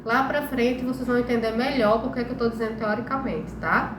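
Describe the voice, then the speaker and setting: A woman's voice: a little high, fairly quick. A Brazilian woman with a slightly nasal, congested voice from a cold, explaining things informally as host of a health live stream and often checking that listeners follow. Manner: conversational, frequent check-in tags. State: congested, recovering from flu. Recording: echoey room with clear background noise.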